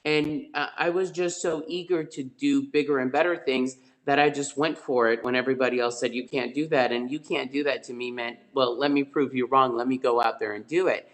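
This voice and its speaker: A middle-aged man talks through his real estate stories at an ordinary, mid pitch, leaning on ums and uhs and often restarting his sentences. He speaks as a guest on a video-call webinar. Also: steady tone, a little fast, few pauses, low-quality recording. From Spain. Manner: rambling, many fillers and restarts.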